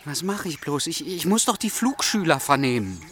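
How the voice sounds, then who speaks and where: Animated, officious male investigator, noisy birdsong. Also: a little high, moderate pace. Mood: bewildered. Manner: officious, indignant, bewildered. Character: earnest, flustered male flight authority investigator. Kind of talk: German satirical radio play acting.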